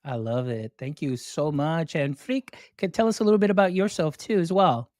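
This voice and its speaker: A man's voice: a little high, moderate pace, a little mellow, no room sound. Man, podcast host, upbeat and effusive, dry close sound. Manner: upbeat, effusive welcomes and thanks. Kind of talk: enthusiastic podcast interview.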